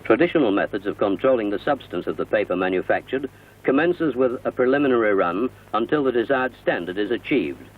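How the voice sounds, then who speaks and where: A British man narrates in the formal style of a 1960 industrial film, explaining each point in measured phrases. His voice is slightly rough and husky at a middle pitch. The sound is thin and narrow, like an old film soundtrack. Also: lively intonation. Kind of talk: 1960 newsreel scripted narration. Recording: muffled, narrow-band vintage film soundtrack.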